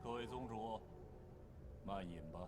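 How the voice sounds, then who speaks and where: An actor playing a formal, haughty clan lord in an animated period drama. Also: male voice, lowish voice, a little slow, noisy background, natural voice. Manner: formal, stately. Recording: quiet show playback under loud music.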